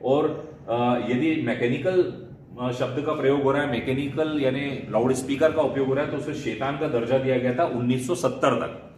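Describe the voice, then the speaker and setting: Indian man lecturing in an echoey room. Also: medium pitch. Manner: didactic, restating terms in English and Hindi. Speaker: law lecturer. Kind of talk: explanatory video lecture. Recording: echoey room, faint background noise.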